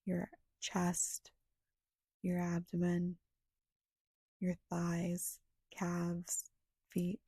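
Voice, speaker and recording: A woman guiding a meditation in a soft, breathy, hushed and soothing voice, leaving long pauses between short phrases. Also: lowish voice, completely flat delivery, no echo. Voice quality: soft, breathy, often slipping toward a whisper. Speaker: guided meditation guide. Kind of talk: guided meditation narration.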